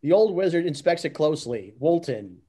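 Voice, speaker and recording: A man's voice: a little high, very animated, fairly quick, dark tone, husky. A man reading stage directions aloud in an amateur script narration, often stumbling and restarting. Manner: stumbles and restarts over the text.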